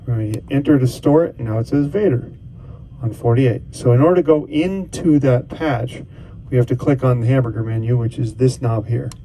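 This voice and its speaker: A man's voice: medium pitch, fairly quick, dark tone, slight background noise, poor audio. A man casually talking through a device setup step by step as a tutorial host. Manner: casual, talks through each step as he goes.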